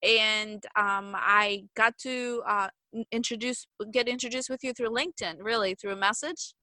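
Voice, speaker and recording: A woman's voice: a little high, fairly even intonation, normal speed, sharp tone, no echo. A woman hosting a podcast interview, talking in an upbeat, chatty way with some fillers, her voice a little off from being sick. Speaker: podcast host.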